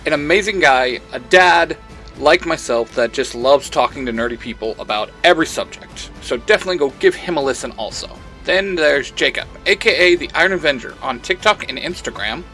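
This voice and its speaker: Lively higher-pitched man hosting a podcast. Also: a little fast, soft background music. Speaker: podcast creator and host. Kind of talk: podcast credits and shout-outs.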